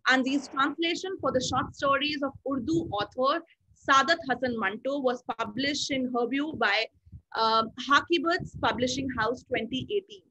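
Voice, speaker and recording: A woman's voice: high-pitched, normal speed, sharp tone, slight background noise. A young woman in her twenties hosting a webinar from a small room at home, friendly with many fillers. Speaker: webinar host. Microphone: laptop mic on a video call. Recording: dull lo-fi video call audio.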